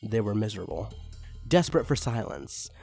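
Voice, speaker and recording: A man's voice: lowish voice, big pitch swings, normal speed, vocal fry, darkish tone, faint music behind. A man reading fan fiction aloud as a dramatic story narrator.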